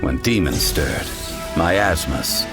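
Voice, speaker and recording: A grave storyteller in a fantasy game. His low voice moves slowly and solemnly through a dark legend, measured and dramatic, with music loud behind him. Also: lively intonation, exaggerated voice. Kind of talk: scripted storybook narration.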